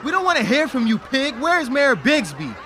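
Very high-pitched angry male protester. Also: wildly swooping pitch, brisk, noisy background, exaggerated voice. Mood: angry, defiant. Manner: confrontational, shouting. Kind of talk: audio drama crowd heckling.

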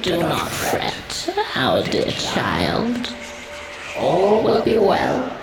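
A woman's voice: sits low, huge pitch swings, very slow, gravelly, heavily acted. A woman playing an eerie nun vampire who feeds on sorrow, coaxing and incantatory, in a horror audio drama. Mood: soothing, menacing. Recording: echoing, with loud music over the voice. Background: loud music.

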